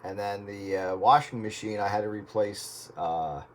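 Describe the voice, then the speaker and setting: A man's voice: on the low side, steady tone, moderate pace, slight background noise. A man chatting casually on the radio in a folksy, plain-spoken way. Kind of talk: casual chat over radio.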